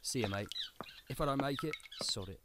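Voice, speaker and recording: An actor playing a grim, stubborn undercover police detective in a radio drama, with an English accent and a gruff, dry manner. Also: male voice, medium pitch, fairly quick, heavy background noise, lightly acted. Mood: wry, resigned.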